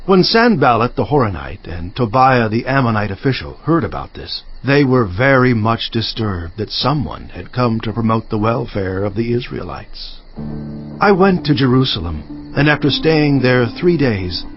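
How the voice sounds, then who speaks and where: Devout male scripture narrator: solemn, emphatic, highly animated, soft music behind. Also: breathy edge. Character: Nehemiah, devout, earnest male cupbearer narrating his memoir. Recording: slightly dull audio with music behind.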